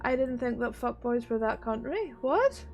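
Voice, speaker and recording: Rural Northern Irish woman streamer, higher voice. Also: expressive, normal speed, darkish tone, faint music behind. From Northern Ireland. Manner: chatty, swears freely, laughs often while reading chat. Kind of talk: casual gaming livestream chat.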